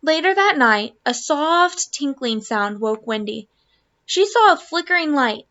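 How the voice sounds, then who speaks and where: A woman teacher reading a children's storybook aloud to students, expressively and deliberately. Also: high voice, lively intonation, bright, forceful tone, dull audio. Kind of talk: children's storybook read-aloud in Spanish and English.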